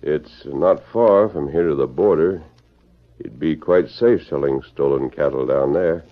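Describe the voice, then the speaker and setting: An actor playing a calm, commanding masked hero in an old radio western, measured and authoritative. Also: male voice, rumbling low. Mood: calm, probing. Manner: measured, authoritative, probing questions. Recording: dull old radio recording.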